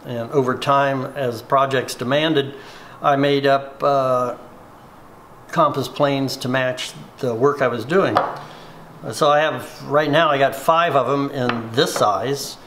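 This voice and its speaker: Middle-aged male craftsman, mid-range voice, relaxed show-and-tell, slight room hiss. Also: mid-range voice. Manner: unhurried, plainspoken, many fillers. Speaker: woodworking instructor. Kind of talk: hands-on workshop tutorial.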